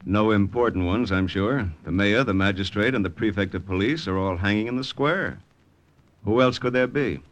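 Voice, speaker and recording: An actor playing a tough, wry secret agent in old-time radio drama. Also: male voice, lowish voice, moderate pace, close to an everyday voice. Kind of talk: old-time radio drama, first-person narration and dialogue.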